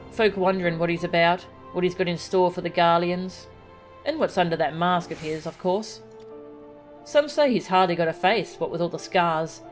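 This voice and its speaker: Woman putting on a low, blunt, gossipy townsperson. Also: close to an everyday voice. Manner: colloquial, chatty. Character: blunt, gossipy working-class settlement local. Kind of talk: reading video game dialogue aloud.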